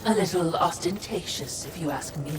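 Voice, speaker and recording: A woman's voice: on the low side, normal speed, roomy sound, noisy background, close to an everyday voice. A woman playing a sly, sarcastic demonic companion in a video game, mockingly servile. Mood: snide sarcasm. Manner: sarcastic, drawling. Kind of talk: dramatic video game voice acting.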